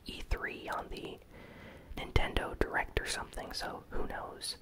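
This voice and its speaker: A host whispering an ASMR news recap in a hushed, unhurried way, entirely without voicing. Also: very high-pitched, low-quality recording. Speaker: host of a gaming news channel.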